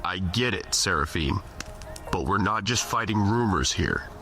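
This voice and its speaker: Casting: man as world-weary, loyal skeptic for audio drama; very low voice, faint music beneath. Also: lively intonation, unhurried, bright, forceful tone, full character voice. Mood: skeptical, weary. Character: male comrade Malachi, weary skeptic but loyal and encouraging.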